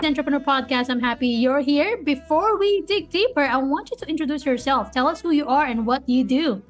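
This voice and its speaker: A woman's voice: sits high, a little fast, soft background music. A young woman with a Filipino accent, hosting a podcast interview in a friendly, chatty way with many fillers. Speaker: podcast host.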